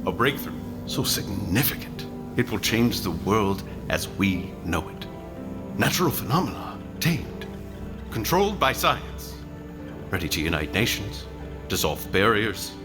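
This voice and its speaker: Grandiose scientist, deep and sweeping in pitch, audio drama speechmaking with music behind. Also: male voice, lively intonation, unhurried. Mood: grand, confident. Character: grandiose male scientist announcing his world-changing breakthrough.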